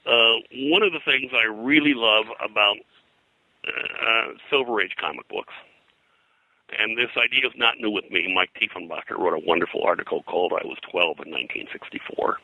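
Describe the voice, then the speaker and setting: An older man talking in a rambling interview style, halting and digressive as a guest historian. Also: lowish voice, animated, creaky, bright, forceful tone, dry recording, poor audio. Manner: halting, digressive, frequent "you know". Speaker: guest, pop culture historian.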